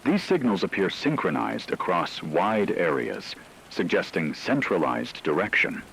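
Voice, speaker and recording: A man playing a grave radio news anchor, delivering a scripted report in a solemn, formal, measured way. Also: low-pitched, sing-song, vocal fry, fairly bright tone, exaggerated voice. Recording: noisy, rain-like background ambience.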